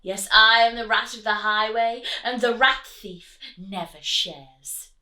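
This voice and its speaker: Woman as a loud, bullying rat highwayman. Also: slow pace, reverberant, poor audio. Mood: thundering demand. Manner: loud, commanding, boastful, declamatory. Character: bullying, greedy male rat highwayman from a storybook.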